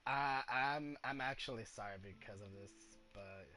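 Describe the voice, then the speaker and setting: A man's voice: medium pitch, normal speed. Guy doing casual lets play commentary, kinda hesitant, super noisy recording w game ambience. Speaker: game streamer. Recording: very noisy mic over game sounds.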